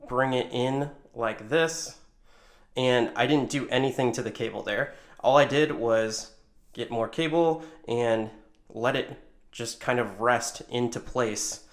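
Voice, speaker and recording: A man's voice: medium pitch, fairly bright tone. Casual man giving a hands-on tutorial. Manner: informal, talks through each hand movement step by step. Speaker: tutorial presenter. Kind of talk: casual hands-on instructional demonstration.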